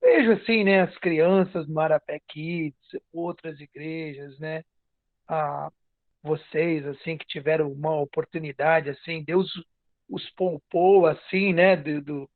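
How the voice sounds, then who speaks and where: A man with a Brazilian accent from the São Paulo coast tells his own story casually as a podcast guest, haltingly, repeating phrases and tagging them with "né". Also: fairly high pitch, steady tone, no room sound. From Cubatão, São Paulo state, Brazil. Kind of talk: casual personal storytelling in an interview. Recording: narrow phone-like online call sound.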